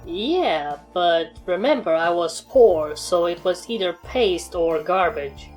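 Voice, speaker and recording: A woman voicing a world-weary alien turned pony in a dry, deadpan, matter-of-fact way for a fan fiction reading. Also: fairly low pitch, slow pace. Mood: dry, resigned. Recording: music behind the voice.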